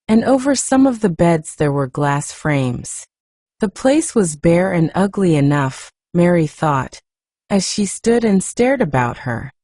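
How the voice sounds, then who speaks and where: Female audiobook reader: soft, hushed and gentle, slightly low, mellow tone, lively storytelling intonation. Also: no echo, studio quality. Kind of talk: softly read storybook narration.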